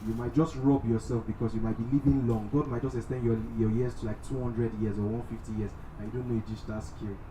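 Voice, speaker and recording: A man's voice: medium pitch, flat delivery, quick pace, hardly any pauses. A young Nigerian man giving a motivational talk to a live audience, often checking in with short tag questions as he goes. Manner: frequently checks in with "right?". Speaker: guest speaker. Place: event space in a mall. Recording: echoey, noisy venue, distant pickup.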